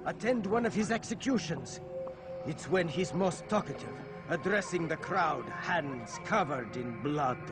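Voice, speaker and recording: Halting, evasive informant, fairly high. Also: male voice, expressive, music in the background. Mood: guarded, uncertain. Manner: halting, cryptic phrases. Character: evasive, uneasy informant hinting at dark plots. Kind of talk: video game dramatic acting.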